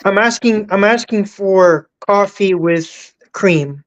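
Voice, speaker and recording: A woman chatting as a guest on a live stream, halting and repeating words as she starts her sentences. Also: medium pitch, highs cut off. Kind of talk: casual live chat.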